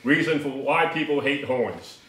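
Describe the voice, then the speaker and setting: A man's voice: medium pitch, a little slow, a bit bright, distant mic. An older man co-hosting a casual, conversational discussion about a hobby. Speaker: co-host of an audio hobby show. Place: living room table indoors. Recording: echoey room sound.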